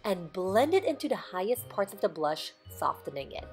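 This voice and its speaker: A young woman from Indonesia with a hoarse voice, talking through a makeup tutorial step by step in a chatty, instructive voiceover. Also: mid-range voice, big pitch swings, normal speed, over loud music. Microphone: close voiceover mic.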